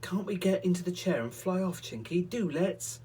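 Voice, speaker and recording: A man's voice: high-pitched, lively intonation, normal speed, dark tone, husky, voice pushed up, full character voice. A man voicing a bold, stubborn young boy in a storybook reading, firm and eager.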